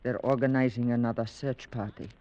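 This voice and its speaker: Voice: medium pitch, steady tone, brisk, warm tone, natural voice. An actor playing a deferential household servant, speaking in a subdued way in old-time radio drama. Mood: subdued, anxious. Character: deferential household servant bearing grim news. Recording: dull vintage radio recording.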